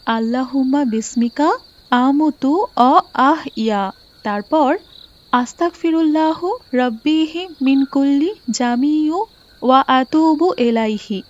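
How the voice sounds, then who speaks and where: High-voiced devout cartoon mother, played by a woman. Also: slow pace, a little mellow. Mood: gentle. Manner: tender, pleading, preachy. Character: gentle, devout mother, long-suffering wife of a drunkard. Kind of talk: Islamic moral cartoon voice acting. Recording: muffled, with music behind.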